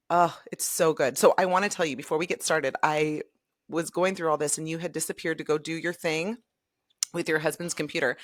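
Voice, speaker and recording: A woman's voice: sits low, dry recording, clean studio sound. A woman hosting a podcast, effusive and encouraging as she welcomes and praises her guest. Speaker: podcast host. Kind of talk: podcast interview.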